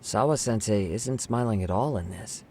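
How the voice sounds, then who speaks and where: A man's voice: on the low side, normal speed, darkish tone, a little hiss or hum, natural voice. An actor playing an easygoing, curious male sidekick in a video game, talking casually and asking questions.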